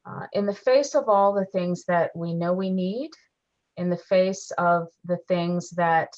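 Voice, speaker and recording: A woman's voice: fairly low pitch, fairly even intonation, measured pace, a little mellow. A woman moderating a panel discussion, leading from one question to the next. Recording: dull lo-fi audio.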